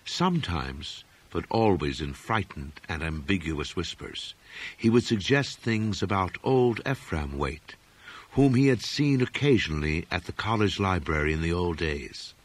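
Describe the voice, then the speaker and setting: Husky male narrator with sweeping, dramatic intonation. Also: deep voice. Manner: measured, expressive literary reading. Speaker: audiobook narrator. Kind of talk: dramatic scripted narration of gothic horror fiction.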